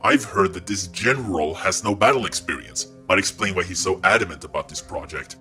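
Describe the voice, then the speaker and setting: A man voices a brooding knight in a comic dub drama. The voice is deep and hard-edged, cynical and menacing, that of a loyal but skeptical subordinate. Faint music runs underneath. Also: brisk, pushed and bright.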